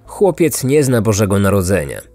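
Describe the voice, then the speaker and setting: A man hosting a podcast, reading scripted true-crime narration like a measured storyteller. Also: fairly low pitch, very animated, dark tone. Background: faint music.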